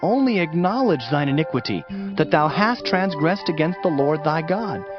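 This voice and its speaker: Guy narrating scripture, solemn n declamatory, pitch swings a lot, music playing under it. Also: animated, normal speed. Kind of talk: dramatized scripture reading. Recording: dull band-limited audio, music behind.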